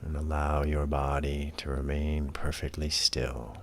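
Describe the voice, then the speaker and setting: Very deep, slow man guiding meditation. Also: vocal fry. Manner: calm, measured, soothing instruction with long pauses. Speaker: yoga and meditation teacher. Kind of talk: guided relaxation meditation.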